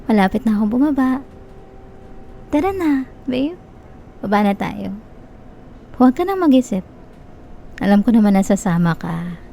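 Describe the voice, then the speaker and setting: A young woman with a Filipino accent playing a possessive, manipulative, lovesick stalker in an intimate ASMR roleplay, softly coaxing and teasing with quiet menace. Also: medium pitch, sing-song, moderate pace, vocal fry, long pauses, slight background noise. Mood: coaxing, smug. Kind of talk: Tagalog-style ASMR roleplay, intimate close address.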